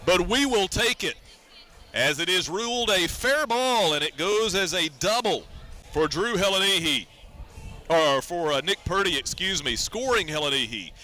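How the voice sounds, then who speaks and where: An American man calling a baseball game as a radio play-by-play announcer, in a measured, descriptive way. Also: high voice, animated, bright, forceful tone. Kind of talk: live sports radio commentary.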